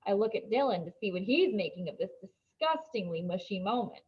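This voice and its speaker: A woman reading a children's novel aloud as an expressive storybook narrator. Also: medium pitch, fairly quick, husky, highs cut off.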